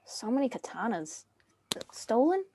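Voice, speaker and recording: A woman's voice: a little high, sing-song, a little slow, dark tone, slightly rough, full character voice. A woman playing a hesitant, curious young noblewoman in an amateur dramatic script reading with faint room noise. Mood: uneasy, curious.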